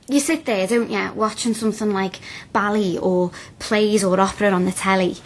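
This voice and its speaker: An actress playing a blunt, chatty, curious young student in a radio drama, with a Liverpool accent and colloquial phrasing. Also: female voice, on the high side, close to an everyday voice.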